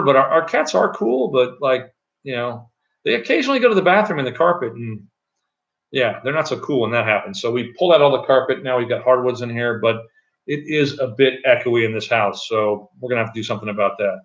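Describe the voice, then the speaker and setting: A middle-aged man with a loud voice, chatting loosely on a live stream from an echoey room at home, with many fillers and restarts. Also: mid-range voice, creaky, muffled recording. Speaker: channel host. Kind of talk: casual live-stream chat.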